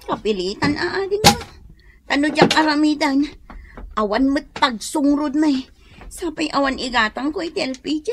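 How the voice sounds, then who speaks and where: An actress playing a scolding, long-suffering village wife with an Ilocano accent in a radio drama. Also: female voice, sits high, lively intonation, moderate pace, noisy background. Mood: weary.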